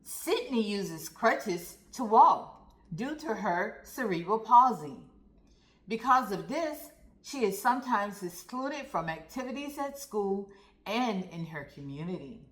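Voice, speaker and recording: Female read-aloud narrator for young kids, slow and deliberate with animated melody, addressing the children directly. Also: mid-range voice. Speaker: storyteller reading aloud to children. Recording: roomy, echoey sound.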